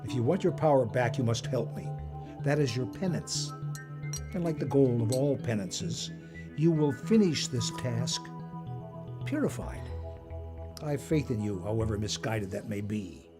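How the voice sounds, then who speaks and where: A man acting in an audio drama as an old magician and collector, formal, smug and lecturing, with a measured delivery. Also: medium pitch, expressive, over music, natural voice. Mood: stern, ominous. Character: old male magician and artifact collector, commanding, smugly moralizing.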